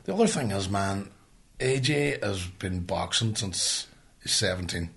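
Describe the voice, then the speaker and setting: A man with a Northern Irish accent co-hosting a podcast in casual, sweary banter, blunt and colloquial and quick to argue. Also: low-pitched, lively intonation, fairly bright tone. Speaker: podcast co-host.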